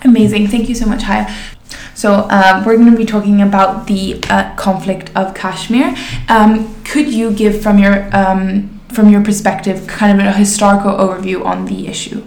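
A woman's voice: medium pitch, level tone, moderate pace, vocal fry, darkish tone, roomy sound. A woman hosting a podcast interview, introducing a guest and asking questions politely. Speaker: podcast host.

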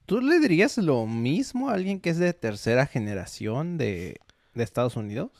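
A man's voice: mid-range voice, sing-song, moderate pace, vocal fry, a little mellow, no echo. A young Mexican man who co-hosts a podcast and speaks in a Mexican accent, explaining ideas in a casual, searching way. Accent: Mexican Spanish. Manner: thoughtful, explains with many fillers and restarts. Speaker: podcast co-host. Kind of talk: casual chat.

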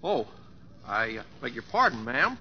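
An actor playing a folksy, courteous young cowboy with a Western American drawl, hesitant and polite, in 1950s radio drama style. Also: male voice, medium pitch, very animated, normal speed, slight background noise. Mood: polite, bashful. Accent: American West drawl. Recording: dull vintage radio broadcast.

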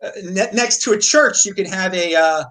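A man's voice: high-pitched, normal speed, sharp tone. A man hosting an interview, asking curious questions with hesitant fillers. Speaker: interviewer, host. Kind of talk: interview conversation.

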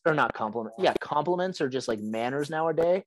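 A young man with a raspy, hoarse voice from being sick, hosting a casual podcast chat and rambling with lots of "like" and restarts. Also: fairly high pitch, animated, fairly quick, no room sound. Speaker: podcast host.

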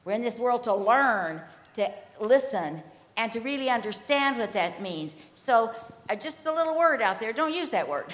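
A woman's voice: fairly high pitch, lively intonation, normal speed, a bit bright. An older woman leading a church discussion in an earnest, emphatic sermon style, with light joking asides. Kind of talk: sermon-style panel hosting. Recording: long hall reverb.

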